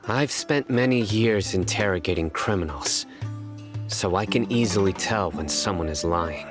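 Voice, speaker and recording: A stern, analytical male investigator in a video game. His voice sits low, and he speaks formally and deliberately, with grave reserve. Music plays clearly behind him. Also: lively intonation. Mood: skeptical. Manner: measured, serious, formal. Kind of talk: video game voice acting, dramatic storytelling. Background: background music.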